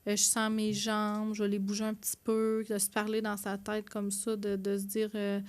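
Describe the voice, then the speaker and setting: A woman's voice: medium pitch, monotone, normal speed, a little mellow, smooth. A woman with a Quebec French accent, a podcast guest giving casual, slightly hesitant advice through everyday examples. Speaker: podcast guest, community support worker. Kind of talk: casual explanatory chat.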